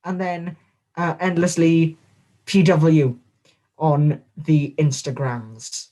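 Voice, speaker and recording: Guy doing a deadpan wrestler gimmick interview, bragging about nonsense, totally straight-faced. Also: high-pitched. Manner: deadpan, boastful and absurd. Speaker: guest wrestler. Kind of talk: comedic in-character interview.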